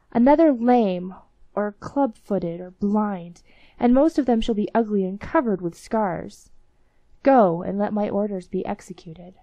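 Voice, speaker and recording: A woman's voice: mid-range voice, mellow, dry recording, lo-fi sound, close to an everyday voice. A woman voicing a scheming young man, a minister's son, in a storybook reading.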